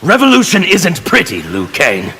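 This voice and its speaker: An actor playing a fanatical, defiant anarchist revolutionary in a video game, with a gravelly, sneering, theatrical delivery. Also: male voice, high-pitched, huge pitch swings, normal speed, bright, forceful tone, a little hiss or hum, full character voice. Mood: scornful defiance. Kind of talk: video game character dialogue. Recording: reverberant, game music behind.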